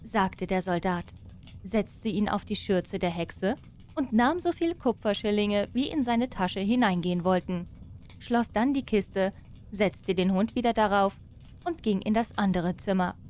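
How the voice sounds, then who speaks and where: A woman narrating a fairy tale as an expressive audiobook storyteller. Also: mid-range voice, measured pace.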